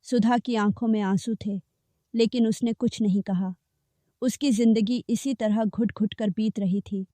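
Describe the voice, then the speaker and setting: A woman narrating a cartoon folk story in a steady, measured way. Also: fairly high pitch, dry recording. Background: faint background music.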